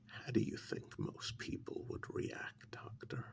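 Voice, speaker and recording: A man playing a sinister, menacing agent in an ASMR role-play, speaking softly in a breathy, gravelly half-whisper with deliberate pauses. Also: lightly acted. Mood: mocking, accusing. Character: sinister male agent coolly interrogating and blackmailing a mad scientist. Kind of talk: soft-spoken ASMR role-play monologue.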